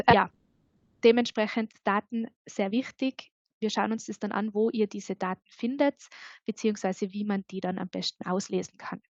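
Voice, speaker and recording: A woman with an Austrian accent, a hotel consultant explaining in a lively, upbeat webinar presentation. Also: mid-range voice, dry recording, muffled recording. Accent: Austrian German.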